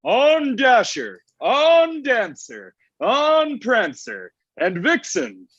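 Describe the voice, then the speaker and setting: A man's voice: very high voice, wildly swooping pitch, extremely slow, fairly bright tone, no echo, heavily acted. A man playing a sulky, lovesick old Santa Claus in a comedic table read. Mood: blustery. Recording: dull lo-fi online call.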